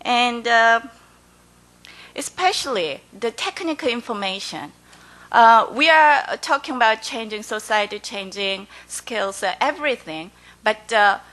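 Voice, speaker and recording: A woman with a Korean accent, whose first language is Korean, giving halting, hesitant remarks as a panelist at a conference forum. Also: on the high side, bright, forceful tone.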